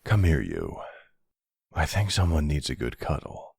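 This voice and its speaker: A man playing a gentle older mafia man and devoted partner, speaking softly and reassuringly in an intimate roleplay. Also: rumbling low, very animated, measured pace, vocal fry, husky, heavily acted. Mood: soothing, vulnerable. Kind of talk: intimate ASMR boyfriend roleplay.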